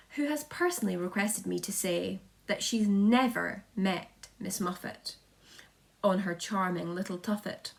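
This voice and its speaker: A woman's voice: mid-range voice, lightly acted. A woman voicing an eager, polite young boy who proudly presents friends in sing-song storybook rhyme. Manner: sing-song rhyming delivery, showman-like.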